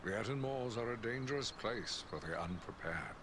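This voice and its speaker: Man playing a grave, expressive elven lord. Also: lowish voice, noisy background, natural voice. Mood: courteous, solemn. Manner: formal, measured, stately. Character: grave, courteous elven lord of a great house. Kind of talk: fantasy video game voice acting.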